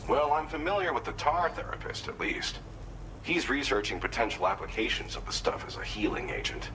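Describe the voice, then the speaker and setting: A man playing an earnest, grateful researcher in a video game cutscene, explaining things in a measured, conscientious way. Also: mid-range voice, big pitch swings, background noise, natural voice. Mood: advising. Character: earnest, grateful male researcher and weapons inventor briefing a courier.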